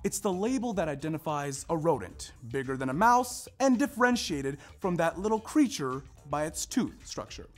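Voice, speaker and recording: A man performing a scripted narration in a readers theater style, with emphatic, deliberate phrasing. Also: on the high side, big pitch swings, faint music behind. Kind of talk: scripted narration, staged recitation.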